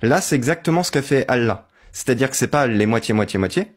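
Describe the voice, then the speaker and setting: A man's voice: mid-range voice, lively intonation, fast, husky. A man in an online voice-chat debate, laying out his argument step by step and asking for agreement. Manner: methodical, argues step by step, seeks agreement. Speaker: debate host.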